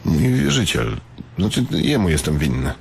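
Anxious wannabe robber in a radio play, low creaky voice all over the place, hesitant, noisy sound. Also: male voice, sing-song, normal speed, exaggerated voice. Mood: afraid. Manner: hesitant, pleading, emotional. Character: anxious, hapless indebted man turned amateur bank robber. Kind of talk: radio drama acting. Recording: clearly noisy background.

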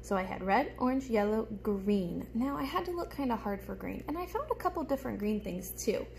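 A woman's voice: on the high side, lively intonation, brisk, vocal fry, noisy background. A young woman teacher giving a show-and-tell lesson to small children, explaining things simply and expressively. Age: young adult. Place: small room at home, indoors. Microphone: phone held close in front.

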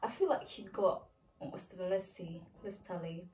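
A woman's voice: on the low side, moderate pace, a little mellow. A woman in her twenties quizzing her sister in a casual vlog, teasing and laughing often. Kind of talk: casual chat, quiz game. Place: living room, indoors. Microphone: far camera. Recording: roomy sound from a distant camera.

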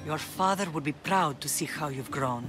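A woman playing a solemn, measured middle-aged Spartan mother in a video game, warm toward her son. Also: sits low, close to an everyday voice. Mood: tender. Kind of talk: dramatic video game acting. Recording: loud music behind.

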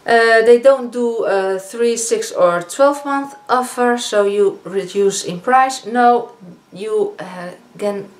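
A woman in her fifties with a Dutch accent, chatting casually as a beauty vlogger reviewing products, often pausing on fillers and correcting herself. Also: fairly high pitch. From Netherlands. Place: living room, indoors. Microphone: camera in front of a seated speaker. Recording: echoey room with faint hiss.

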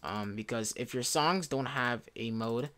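A young man giving a casual software tutorial in an informal, rambling way, often correcting himself. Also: mid-range voice, unhurried. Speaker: tutorial presenter. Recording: music behind, keyboard clicks. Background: music, computer typing and clicks.

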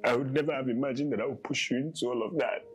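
A man's voice: mid-range voice, steady tone, very fast, soft background music, natural voice. A man playing a stammering, pleading husband. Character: remorseful husband begging forgiveness.

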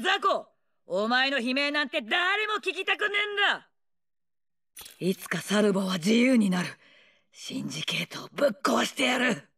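An actress voicing a brash, bloodthirsty older female warlord in a video game, with rough slangy taunts, a snarling delivery and mocking, gleeful menace. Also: sits high, bright, forceful tone, heavily acted. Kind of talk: video game character voice lines.

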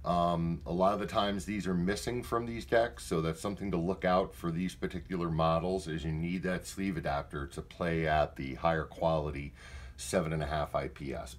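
A man's voice: low-pitched, normal speed. A man talking casually as he gives a hands-on product demonstration in a room at home. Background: music playing at times.

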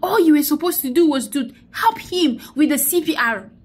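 A woman's voice: high voice, lively intonation, moderate pace. A young woman talks close into her phone indoors, grieving and then preaching, repeating her phrases for emphasis. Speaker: vlogger. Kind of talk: emotional selfie vlog, preachy. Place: indoors, small room. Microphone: phone held close to face.

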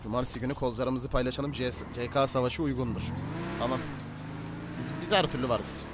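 Bossy, excitable male gamer, quick delivery, noisy recording, casual roleplay banter. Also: mid-range voice. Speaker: gaming video host and gang boss in roleplay. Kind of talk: casual in-game roleplay chat.

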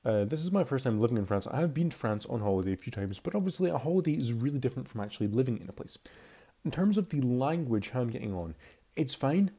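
A man's voice: mid-range voice, big pitch swings, quick pace, warm tone, husky. A twenty-year-old man with a Scottish accent, giving a casual, chatty and candid voice-over commentary. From Scotland. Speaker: video commentator.

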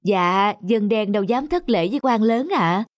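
A woman's voice: medium pitch, lively intonation, moderate pace, no echo, natural voice. A woman voicing a humble, wry young male commoner in a cartoon folk tale. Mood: wry, bitter. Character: humble, wry young commoner man seeking old friend.

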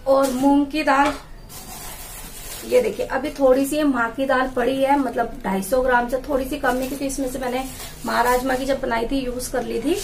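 High-voiced chatty housewife vlogger. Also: female voice, fairly even intonation, normal speed, a little hiss or hum. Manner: chatty, explaining while handling jars and bags. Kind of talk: casual home vlog showing groceries. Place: small indoor room with tiled walls and wooden door.

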